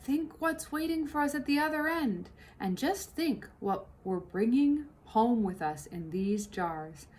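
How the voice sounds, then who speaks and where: A woman's voice: fairly high pitch, animated, measured pace, few pauses, darkish tone. A woman voicing a clever, cheerful male fox and father in a storybook reading.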